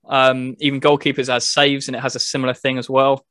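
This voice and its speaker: Guy podcast host talking thru game features step by step, casual, fairly high voice, dry close sound. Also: level tone, brisk, a bit bright, dry recording. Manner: explanatory, step-by-step. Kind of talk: casual chat.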